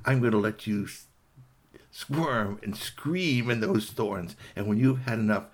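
A man voicing a sly, hungry fox villain in a folktale, musing and gloating with drawn-out hums. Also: fairly low pitch, slightly rough. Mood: gleeful, menacing. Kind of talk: animated oral folktale storytelling.

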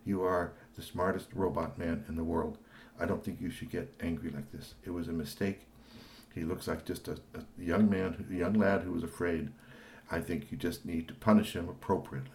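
A man's voice: low voice, normal speed, slight background noise. A man doing an earnest, chatty company man. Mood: respectful. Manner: chatty, then calm and formal. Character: earnest male company worker, gossipy then respectful.

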